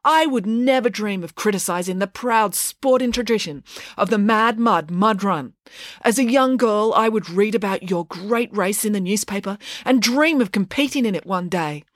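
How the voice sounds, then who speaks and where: Woman narrator as a proud young sportswoman, raised pitch, loud rallying declarations, upbeat, dry audiobook sound. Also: sing-song, measured pace, few pauses, dry recording. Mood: earnest, rallying. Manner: loud declarative public-speech delivery.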